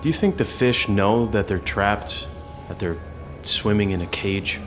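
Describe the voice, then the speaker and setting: An actor playing a confident, cagey hacker turned recruiter in an audio drama, speaking in a measured, self-assured and probing way. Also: male voice, lowish voice, faint music behind, natural voice. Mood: musing. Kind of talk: full-cast audio drama dialogue.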